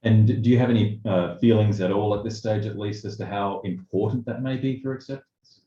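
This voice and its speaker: A man with a lowish, mellow voice moderates a webinar interview. He phrases his questions tentatively and informally. The sound is very roomy and slightly dull, like an online call. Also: steady tone, fairly quick.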